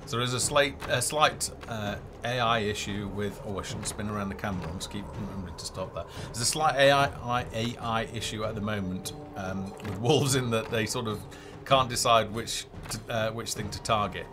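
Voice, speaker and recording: A man with a fairly low voice talks through a live game session as its developer host. He thinks aloud, hesitating as he goes. Game music plays clearly behind him. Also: normal speed, few pauses. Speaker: game developer hosting a livestream. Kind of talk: live gameplay commentary.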